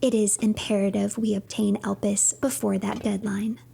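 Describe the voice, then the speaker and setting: A woman narrating a video game playthrough as a dramatic story, in a theatrical, deliberate manner. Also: medium pitch, flat delivery, creaky, dark tone, slight background noise.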